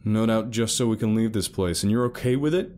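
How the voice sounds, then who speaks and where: A man's voice: on the low side, animated, measured pace, mellow, a little hiss or hum. A man voicing a stern middle-aged father who barks orders. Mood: commanding. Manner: barking and commanding.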